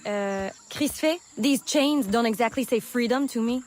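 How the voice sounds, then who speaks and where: A bold female smuggler in a video game, played by an actress, speaks in a fairly high voice. She is confident, teasing and sardonic, quick to take the lead. Faint ambient noise sits behind her. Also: darkish tone, close to an everyday voice. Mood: wry, urgent. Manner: confident, wry, playful. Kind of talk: video game character acting.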